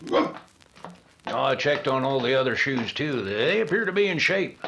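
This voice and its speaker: An actor playing a helpful, easily flustered village blacksmith who stammers a little in a radio drama. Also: male voice, mid-range voice, sing-song, moderate pace, gravelly, noisy background. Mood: friendly. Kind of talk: old-time radio drama acting.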